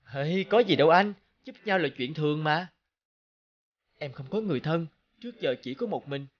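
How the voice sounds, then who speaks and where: A man playing a kind, selfless young sworn brother in an animated folk tale, with an earnest, pleading manner. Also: a little high, moderate pace, lo-fi sound. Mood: warm, humble.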